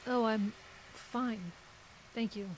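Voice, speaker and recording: A woman's voice: on the high side, slow, poor audio. A woman playing a guarded, brusque literary agent in an audio drama, with a dry, curt manner. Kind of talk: naturalistic audio drama acting. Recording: clearly hissy recording.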